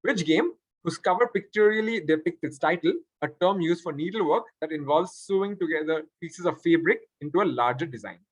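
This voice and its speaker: A man's voice: on the high side, brisk, hardly any pauses, no room sound, poor audio. A man hosting a quiz, reading out questions and confirming answers. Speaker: quiz master. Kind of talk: reading quiz questions aloud, with spoken asides.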